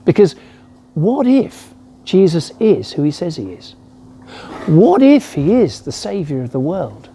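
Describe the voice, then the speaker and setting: A man giving a reflective sermon as a rector, speaking in a measured way with rhetorical questions. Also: high voice, vocal fry, warm tone, a little hiss or hum. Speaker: rector preaching a Christmas message.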